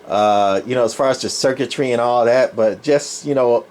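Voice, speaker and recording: A man's voice: medium pitch, moderate pace, darkish tone. A man giving a casual, unscripted show-and-tell as a collector, talking in a rambling, folksy, plainspoken way. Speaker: radio collector presenting his collection. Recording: clear background noise, room ambience.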